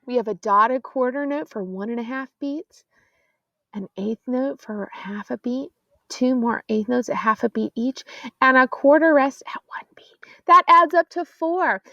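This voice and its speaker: High, gravelly woman teaching choir. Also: a little slow, bright, forceful tone, no echo. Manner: explains patiently, counts beats aloud. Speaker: middle school choir teacher. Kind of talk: classroom music lesson. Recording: dull, dry lo-fi audio.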